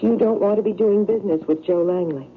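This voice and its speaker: An actor playing a grave, cryptic doctor with a formal, portentous manner in an old-time radio drama. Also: male voice, high voice, fast talker, natural voice. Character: grave, cryptic male doctor interpreting a patient's dream. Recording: tinny old radio recording, faint noise.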